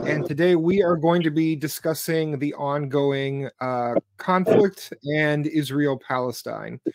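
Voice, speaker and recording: A young man in his twenties hosts an interview over a webcam from a room at home, eager, with frequent ums and restarts. His voice sits a little high. The sound is dry and close. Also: dry recording. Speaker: host, interviewer. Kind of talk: interview hosting. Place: home room with bookshelves, indoors. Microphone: webcam on a video call.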